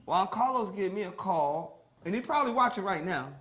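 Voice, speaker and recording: A man's voice: high-pitched, a little fast, reverberant. A man hosting a morning show in casual studio banter, telling stories animatedly and acting out voices. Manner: animated, storytelling with voiced quotes. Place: broadcast studio.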